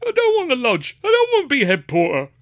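Bloke doing a high whiny-protesting lad answering back, cornered son in an audiobook, really dry recording. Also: male voice, huge pitch swings, moderate pace, fairly bright tone, no room sound, exaggerated voice. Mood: nervous defiance. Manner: plaintive, protesting. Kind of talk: audiobook character voice.

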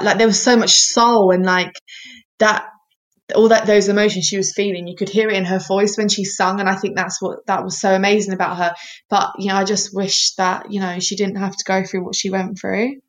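A woman's voice: medium pitch, level tone, a little fast. Giggly woman in her thirties. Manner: warm, giggly, self-deprecating. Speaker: guest. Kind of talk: casual chat.

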